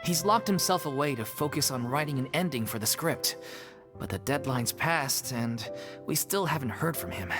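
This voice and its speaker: An actor voicing a lively theater troupe member. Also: medium pitch, moderate pace, natural voice. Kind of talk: game cutscene voice acting. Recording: game soundtrack music behind.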